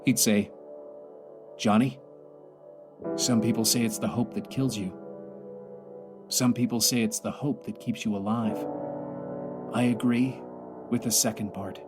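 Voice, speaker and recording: A man playing a weary, grieving astronaut commander stranded alone, speaking an earnest, halting audio drama monologue. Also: lowish voice, steady tone, over music, close to an everyday voice. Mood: wistful.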